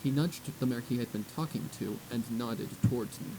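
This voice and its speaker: A man's voice: medium pitch, brisk, mellow, a little hiss or hum, rough recording. A man reading a story aloud as an audiobook narrator, in a scripted narration style.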